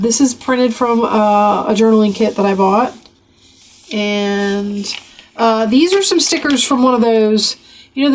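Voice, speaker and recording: A woman with a gravelly voice from a cold, chatting casually as she flips through a craft journal. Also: mid-range voice. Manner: chatty, rambling, with many fillers. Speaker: craft channel host.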